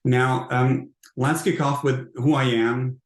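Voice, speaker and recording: A man from Hungary with a Hungarian accent gives an informal conference presentation as a founder, in halting, repetitive phrasing. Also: mid-range voice, fairly even intonation. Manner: halting, hesitant phrasing with repeated words. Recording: roomy, echoing sound.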